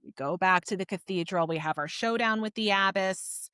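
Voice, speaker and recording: A woman's voice: mid-range voice, normal speed, bright, forceful tone, clear, resonant tone, no echo, clean studio sound. A woman co-hosting a podcast, recapping a story in an informal, conversational way. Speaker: podcast co-host. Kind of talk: casual book discussion, recapping a story.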